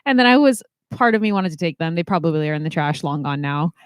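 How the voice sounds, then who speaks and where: Nasal woman co-host, giggly and self-mocking, pitch bouncing all over, dry mic. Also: expressive, fast talker, clear, resonant tone, no echo. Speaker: podcast co-host and comedian. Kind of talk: casual chat.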